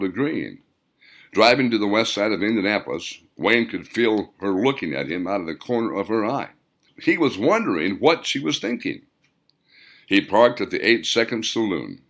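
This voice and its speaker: A man's voice: lowish voice, fairly bright tone. A man reading a scripted story aloud as the author and narrator of an audiobook.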